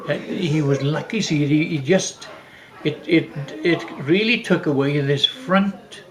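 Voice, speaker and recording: An older man telling family stories casually, rambling and halting with repeated false starts. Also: a little high. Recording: echoey room.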